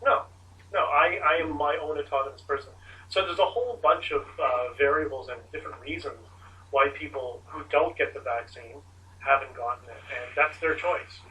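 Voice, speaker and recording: Man at a press conference: bright, emphatic, caught off-mic. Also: fairly high pitch, normal speed, far from the mic, low-quality recording. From Canada. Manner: emphatic, animated storytelling. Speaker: convoy organizer answering press questions.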